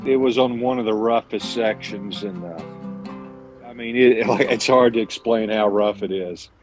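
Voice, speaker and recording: A man's voice: fairly low pitch, measured pace, soft background music, low-quality recording. A man who drives his own truck, answering interview questions in a plainspoken, earnest way, with many restarts and self-corrections. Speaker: owner-operator truck driver, interview guest.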